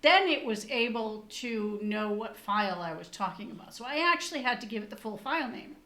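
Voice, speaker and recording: A woman's voice: a little high, animated, a little fast, a bit bright, reverberant. A woman co-hosting a casual tech podcast, a Danish and English speaker, explaining her tests in a chatty, trailing way. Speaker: podcast co-host.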